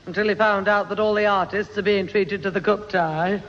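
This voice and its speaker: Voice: mid-range voice, steady tone, normal speed, a bit bright, lightly acted. A performer playing a long-suffering, fond but scolding Northern English mother in a 1960s radio sitcom. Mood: chatty. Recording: muffled noisy 1960s radio recording, echoey hall.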